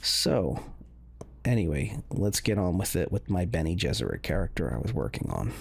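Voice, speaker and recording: A man giving casual game walkthrough commentary in a halting, rambling way, with a gravelly, husky voice. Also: low-pitched, sing-song. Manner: halting, rambling, hedging delivery. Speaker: gaming video host.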